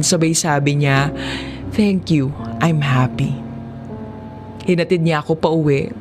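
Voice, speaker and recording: A narrator with a Filipino accent reading a scripted first-person drama story, audiobook style. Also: medium pitch. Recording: music behind the voice. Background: background music.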